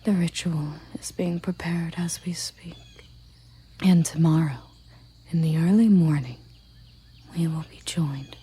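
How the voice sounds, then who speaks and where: A woman voicing a regal, tender and possessive elven queen in a breathy ASMR roleplay whisper, with soft, commanding endearments. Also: low voice, a little slow, pauses often, exaggerated voice. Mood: possessive, devoted.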